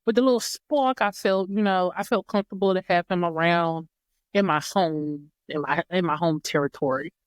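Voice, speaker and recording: A woman's voice: on the low side, moderate pace, no room sound. A woman guest on a podcast, telling a personal story in a reflective way and often repeating and rephrasing herself. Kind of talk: casual storytelling chat.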